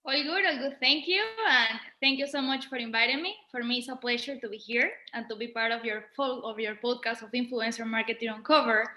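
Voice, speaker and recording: Bright echoey woman. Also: on the high side, brisk, few pauses. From Venezuela. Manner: earnest and expansive, with small grammar slips. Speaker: guest luxury marketing expert. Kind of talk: podcast interview.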